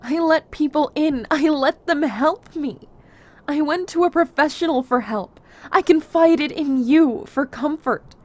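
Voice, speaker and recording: A woman acting a caring, earnest friend in an audio drama, speaking gently. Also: high-pitched, unhurried. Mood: earnest pleading.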